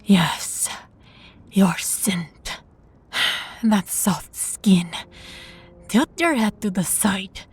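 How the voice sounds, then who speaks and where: A woman playing a sadistic, possessive vampire master in an intimate audio roleplay, teasing and imperious, with a breathy, husky voice. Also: mid-range voice, exaggerated voice. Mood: hungry, seductive. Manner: slow, taunting, imperious, with breathy whispers.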